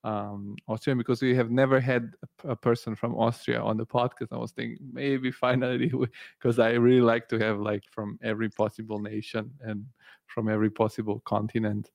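A man born in Bulgaria and raised in Italy hosts a podcast in a casual, rambling way, often searching for words. His mid-pitched voice sounds dry and close on a remote call. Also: level tone, brisk, vocal fry, dry recording. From born in Bulgaria, grew up in Italy. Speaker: podcast host. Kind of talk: casual interview conversation.

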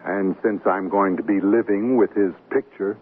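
An actor playing a wry, mildly sheepish newlywed husband in an old-time radio comedy, bantering. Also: male voice, fairly low pitch, fairly even intonation, normal speed, phone-quality sound, lightly acted. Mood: affectionate teasing. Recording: thin, hissy vintage radio recording.

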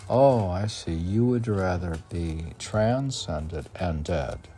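A man's voice: low-pitched, expressive, slow, warm tone, soft background music. A man reading his own poetry aloud and musing to himself between lines, often repeating phrases. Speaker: poet reading own work. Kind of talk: poetry reading with muttered asides.

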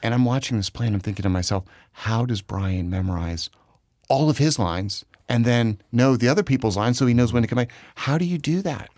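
Male host, brisk and eager, deep tone, dry close sound. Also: dry recording. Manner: enthusiastic, animated questioning. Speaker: interview host. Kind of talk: conversational interview.

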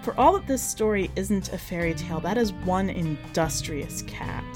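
A woman reading in a measured, expressive way, as a podcast host presenting and narrating short fiction. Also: on the low side, music in the background. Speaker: podcast host and story reader.

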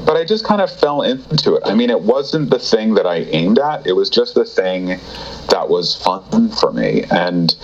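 A man's voice: sing-song, normal speed, highs cut off. A man being interviewed as a guest, telling his career story in a casual, rambling way with many fillers and restarts. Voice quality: deep voice. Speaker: guest, TV writer.

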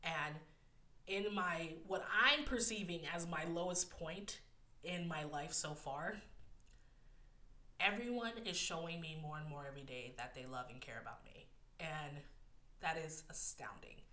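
Tearful young woman, bright nasal voice. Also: sits low, unhurried, echoey room. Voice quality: a bit nasal. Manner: candid, emotional, laughs often, fillers like "like" and "um". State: close to tears. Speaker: podcast host. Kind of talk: personal solo podcast talk.

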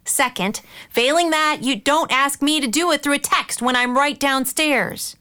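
A woman's voice: high-pitched, unhurried, bright, forceful tone. A woman playing a caring but exasperated middle-aged mother and aunt in an audio drama, earnest and firm.

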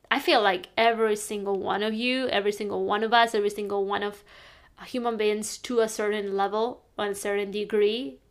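A young woman from northern Spain, talking earnestly and rambling a bit in a casual monologue. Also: medium pitch. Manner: earnest, rambling, self-correcting. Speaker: lifestyle podcaster.

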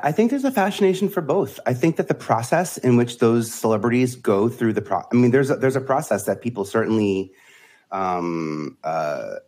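A middle-aged man, a media advisor and guest on a podcast, talking in a rambling, animated way. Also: on the low side. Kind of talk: casual interview chat.

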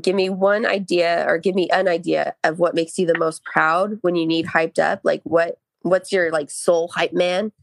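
Gravelly-voiced giggly woman chatting. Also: lowish voice, steady tone, normal speed, hardly any pauses, no echo. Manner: giggly, rambling, warm. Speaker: podcast co-host. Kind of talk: casual chat.

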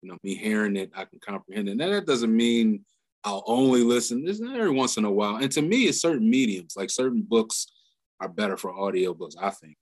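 A man talking casually as a podcast guest, halting with fillers and laughing often. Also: on the low side, expressive, a little mellow.

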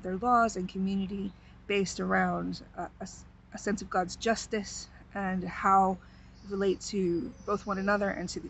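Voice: medium pitch, gravelly, a bit bright, a little hiss or hum. A speaker explains the background of a scripture passage in an earnest way, then reads it aloud with emphasis. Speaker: scripture reader at a service. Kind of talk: introducing and reading scripture.

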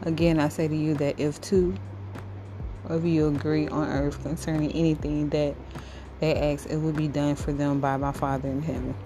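A low female voice reads scripture aloud for a devotional podcast, with little rise and fall in pitch. She goes phrase by phrase in a halting way, and music plays clearly behind her. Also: level tone. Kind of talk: devotional Bible reading. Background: music under the voice.

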